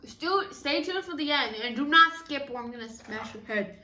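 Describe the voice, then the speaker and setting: A woman's voice: high voice, animated, moderate pace, sharp tone. A young woman co-hosting a vlog, bantering casually with playful, teasing interjections. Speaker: vlog co-host. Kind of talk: casual banter during a mukbang. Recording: roomy echo, distant mic, some noise.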